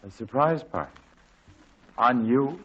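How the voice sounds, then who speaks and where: Smooth businesslike bandleader, husky, polite; dull old radio sound. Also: male voice, mid-range voice, expressive, a little slow, fairly bright tone. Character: suave adult male bandleader, smooth and businesslike. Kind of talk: 1940s radio acting.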